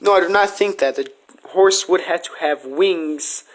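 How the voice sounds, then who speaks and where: A man's voice: high-pitched, unhurried. A man hosting a casual podcast, speaking in an earnest, speculative way as he argues his case. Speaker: podcast host asking questions.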